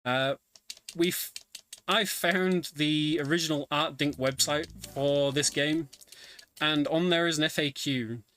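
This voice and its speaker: A man's voice: fairly high pitch, fairly even intonation, slow pace, fairly bright tone. A man giving live, explanatory commentary on a video game as he plays it, somewhat hesitant as he goes. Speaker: speedrunner commentating own play. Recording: game music behind voice. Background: video game music.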